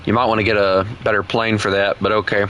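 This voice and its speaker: A man's voice: lowish voice, level tone, quick pace, a bit bright, slight background noise. A man streaming a flight game with a friend, chatting casually in a playful, teasing way. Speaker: gaming streamer. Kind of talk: casual gameplay chat with a friend.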